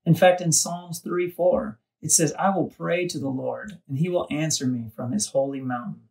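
A man's voice: fairly high pitch, fairly even intonation, normal speed, gravelly, a little mellow. A man reading a scripted devotional for children, recorded at some distance from the microphone. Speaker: kids' devotional reader.

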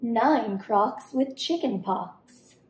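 A young woman reading a rhyming children's story aloud expressively and deliberately. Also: fairly high pitch, animated, a little mellow, a little hiss or hum. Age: young adult. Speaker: storybook reader. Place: home kitchen-dining room, indoors. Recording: roomy indoor echo.

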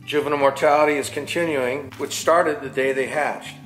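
An older man speaks as a falconer, explaining things in a measured, matter-of-fact way from an armchair in his living room. His voice carries some room echo, and faint guitar music plays behind him. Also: mid-range voice, steady tone, fairly bright tone. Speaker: falconer and barn owl box installer. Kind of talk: scripted educational narration. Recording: roomy sound with faint music.

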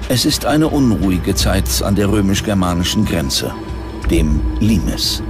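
A man reading scripted documentary narration as the narrator. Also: lowish voice. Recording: music bed under the voice. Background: music.

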